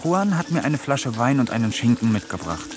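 A man's voice: mid-range voice, very animated, moderate pace, music in the background, lightly acted. A man playing an eccentric village man who talks to animals, narrating a German radio play in a wry, musing first-person voice. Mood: amused. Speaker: narrator and lead character.

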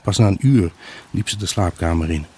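A man's voice: low voice, moderate pace, warm tone, husky. A man acting a troubled, brooding husband who tells of his unhappy marriage in a radio play monologue. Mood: reflective, weary. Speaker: actor narrating a radio drama. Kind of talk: dramatic first-person monologue in a Dutch radio play.

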